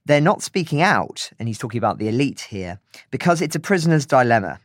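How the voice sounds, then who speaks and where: Measured man giving dry studio news analysis. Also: mid-range voice, dry recording. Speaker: podcast host. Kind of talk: news analysis, reading and quoting an article.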